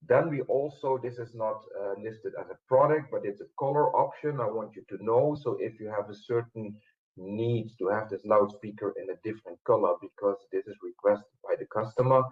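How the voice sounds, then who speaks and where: A man giving a webinar product presentation in a halting, explanatory way, with frequent hesitations. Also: on the low side. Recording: muffled phone-like webinar audio.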